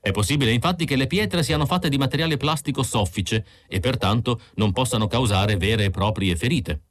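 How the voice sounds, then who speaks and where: A man's voice: mid-range voice, brisk, pitched above the performer's own voice, close to an everyday voice. A man voicing an earnest chief investigator explaining findings on television, emphatic and declarative, in an audiobook. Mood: solemn, revelatory.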